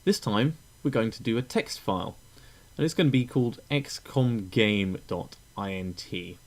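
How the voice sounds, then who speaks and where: A man narrating a step-by-step software tutorial in an informal, explanatory way. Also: fairly low pitch, expressive, normal speed. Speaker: tutorial presenter.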